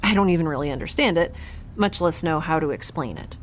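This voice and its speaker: An actress playing a guarded, flustered young woman in a sci-fi audio drama, halting, defensive and rambling in a naturalistic style. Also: sits low, expressive, slight background noise. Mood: overwhelmed.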